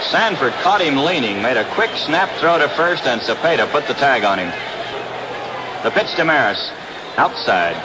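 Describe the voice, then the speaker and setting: A man's voice: mid-range voice, big pitch swings, normal speed, background noise. An American man calling baseball play-by-play in the style of a 1960s radio broadcast, recorded outdoors at a ballpark. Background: loud stadium ambience.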